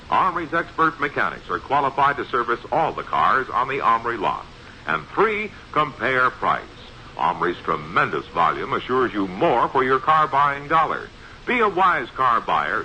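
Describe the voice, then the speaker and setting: A man's voice: lowish voice, pushed and bright. A man reading a scripted 1960s radio commercial in an emphatic salesman's manner. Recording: dull, faintly noisy 1960s radio recording.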